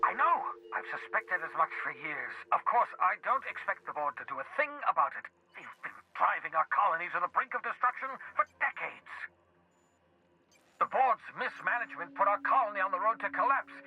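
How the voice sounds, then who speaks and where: An actor playing an eccentric, excitable older renegade scientist in a video game, theatrical and passionately indignant. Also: male voice, high-pitched, fairly quick, phone-quality sound. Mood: indignant, urgent. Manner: theatrical, erratic, swinging from mutters to outbursts. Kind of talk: video game cutscene acting.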